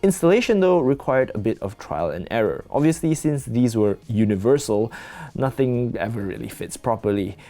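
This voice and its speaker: Bright, animated man narrating a product review. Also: medium pitch, normal speed, faint music behind.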